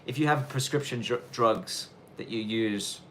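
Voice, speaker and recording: Aussie-london accent guy doing a live q&a, rambly, keeps restarting sentences, tries to talk clear, mid voice, bit of quiet noise behind. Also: mid-range voice. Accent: mixed Australian and London, with some Irish twang. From Australia. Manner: rambling, self-correcting, deliberately clear delivery. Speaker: livestream host answering viewer questions. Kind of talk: casual live Q&A chat.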